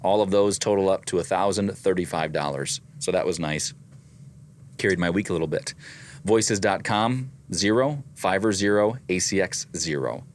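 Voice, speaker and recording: A man's voice: deep voice, normal speed, a little hiss or hum. A man with a slight Midwestern accent, co-hosting a casual business chat and methodically reading out his weekly numbers. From American Midwest. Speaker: voice actor and co-host.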